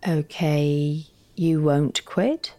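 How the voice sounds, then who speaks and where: Low-pitched, candid woman. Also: fairly even intonation, extremely slow, mellow, exaggerated voice. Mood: wry, reassuring. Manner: frank, articulate, wry. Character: candid, witty, encouraging female partner of a writer. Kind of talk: naturalistic audio fiction acting.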